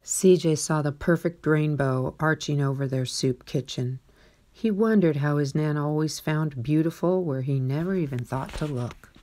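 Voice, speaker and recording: A woman reading a children's story aloud with expressive narration. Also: low voice, moderate pace. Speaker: storybook reader.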